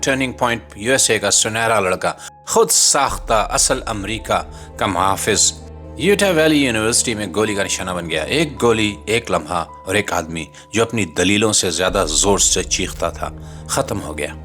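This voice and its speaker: Animated man delivering scripted political commentary. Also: lowish voice. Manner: rhetorical, sardonic, declamatory. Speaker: host and narrator of a commentary channel.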